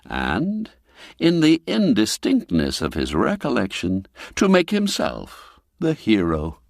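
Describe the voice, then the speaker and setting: A man narrating a classic spooky tale in a measured, expressive storytelling manner. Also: lowish voice, no room sound. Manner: measured, expressive storytelling with sweeping rises and falls. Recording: dry studio with faint music.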